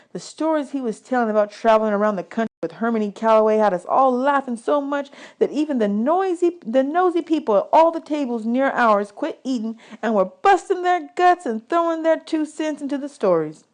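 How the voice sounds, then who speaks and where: A woman reading a children's novel aloud as an expressive storyteller, drawing out words for emphasis. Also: medium pitch. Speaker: teacher reading a book aloud. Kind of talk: expressive audiobook read-aloud of a children's novel in first person.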